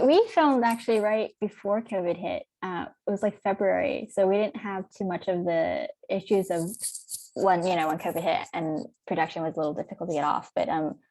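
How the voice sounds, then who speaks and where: A woman talks casually on a festival Q&A panel, her speech full of fillers. Her voice sits a little low, and the sound is dry with no room around it. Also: darkish tone, no room sound. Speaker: filmmaker at a Q&A.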